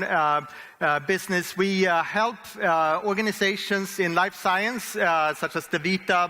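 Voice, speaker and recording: An American man from Oklahoma giving a conference keynote talk, animated, with frequent fillers and laughter. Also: fairly high pitch. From Oklahoma, USA. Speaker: conference speaker. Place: large conference hall. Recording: reverberant hall.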